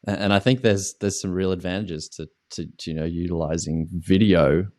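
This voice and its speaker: A man's voice: sits low, fairly even intonation, moderate pace, a little mellow. An Australian man, an online ski coach, answering interview questions in a casual, rambling way with many restarts and fillers.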